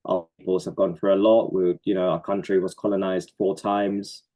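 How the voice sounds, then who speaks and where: A man with a low, warm voice speaks in a reflective, earnest way, answering interview questions about his life as a poet and organizer. He pauses on fillers now and then and laughs softly. His tone stays calm and sincere. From Norway.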